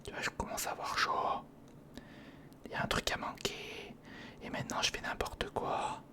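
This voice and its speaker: Hushed ASMR whisperer, casual step-by-step muttering. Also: moderate pace. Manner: informal, muttering step by step to the viewer. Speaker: ASMR video creator. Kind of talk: whispered ASMR commentary while building a toy kit. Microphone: close recorder or studio microphone.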